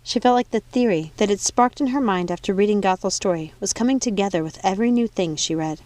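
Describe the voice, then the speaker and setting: A woman's voice: mid-range voice, fast talker, a little mellow, dry recording. A woman reading an audiobook as narrator, in a dramatic scripted storytelling style. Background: music.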